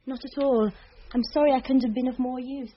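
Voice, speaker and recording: Lady acting polite young woman witness in radio mystery, highish voice, lots of noise. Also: fairly even intonation, a little fast, lightly acted. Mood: polite, obliging. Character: polite, helpful young woman answering police questions. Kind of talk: radio drama dialogue. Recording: noisy, dull radio recording.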